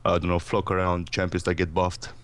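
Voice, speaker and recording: Very deep-voiced man chatting about a game. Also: completely flat delivery, fairly quick, clear, resonant tone. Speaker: co-commentator. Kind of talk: casual game chat.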